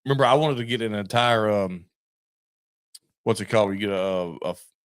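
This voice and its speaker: A man's voice: fairly low pitch, brisk, smooth, no room sound, studio quality. A man co-hosting a podcast, chatting casually in an informal, folksy way. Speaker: podcast co-host. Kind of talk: casual chat.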